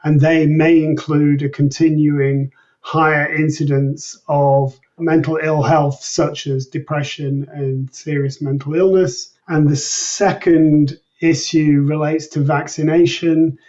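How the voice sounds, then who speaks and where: A man's voice: on the high side, level tone, slow pace, a little mellow. A man giving careful, precise explanations as an expert guest in an interview. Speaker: interview guest, medical researcher. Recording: distant, dull, lo-fi remote line.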